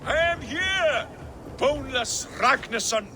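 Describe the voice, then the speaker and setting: A man's voice: very high voice, very animated, extremely slow, bright, forceful tone, a little hiss or hum, full character voice. An actor playing a haughty, defiant king in a video game, declaiming with scorn for invaders. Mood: haughty defiance. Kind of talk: video game dramatic acting.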